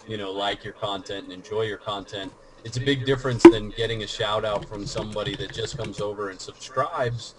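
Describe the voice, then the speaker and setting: A man in casual podcast chat, giving earnest, steady advice about growing a channel. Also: fairly low pitch, noisy background. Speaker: YouTube commentator.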